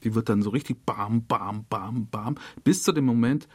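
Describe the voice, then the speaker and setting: A man's voice: lowish voice, moderate pace, a little mellow, husky, no echo. A man speaking conversationally as a director explaining his work in a studio interview. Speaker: radio drama director, interview guest. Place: dry radio studio.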